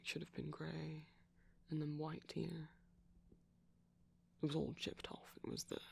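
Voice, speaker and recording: Hushed, breathy man with a creaky edge. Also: on the high side. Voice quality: hushed, breathy, often slipping into whisper. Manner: gentle, shy, hesitant. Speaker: ASMR performer. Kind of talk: soft-spoken ASMR roleplay ramble.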